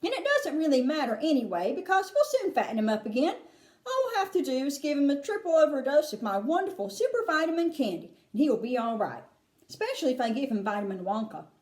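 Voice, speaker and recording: A woman puts on an eccentric storybook showman, breezy and flippant. He gives orders in a high, highly animated voice with roomy echo. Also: fast. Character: breezy, eccentric, unbothered man, a showman inventor. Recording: roomy, echoing room sound.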